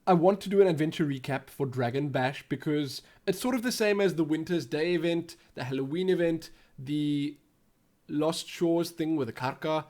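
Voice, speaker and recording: A man's voice: on the high side, normal speed, a little mellow. A young man from South Africa with a Western Cape accent, hosting a casual gaming Q&A vlog and rambling as he thinks aloud. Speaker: YouTube gaming channel host.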